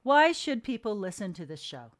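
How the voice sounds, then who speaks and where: A woman's voice: mid-range voice, big pitch swings, moderate pace. A woman hosting a casual interview, putting questions to guests. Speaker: interviewer, host.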